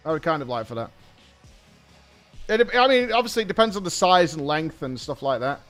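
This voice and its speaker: British guy gaming host, highish voice that swings about a lot, chatty and blunt, music playing behind. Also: lively intonation, a little fast, fairly bright tone. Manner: thinking aloud, colloquial, blunt. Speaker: host of a gaming reaction show. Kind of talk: casual live reaction commentary.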